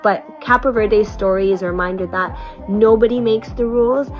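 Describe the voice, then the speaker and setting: A woman's voice: medium pitch, moderate pace. A woman in her thirties from Canada, looking back on a performing tour in a heartfelt, motivational personal vlog. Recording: music playing underneath.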